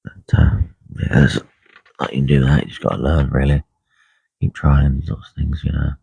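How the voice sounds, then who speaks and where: Croaky deep-voiced man chatting quietly. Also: level tone, no room sound. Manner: quiet, unhurried, many ums and uhs. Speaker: carp angler livestreaming. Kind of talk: casual live stream chat. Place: lakeside fishing swim outdoors. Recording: dull lo-fi live stream audio.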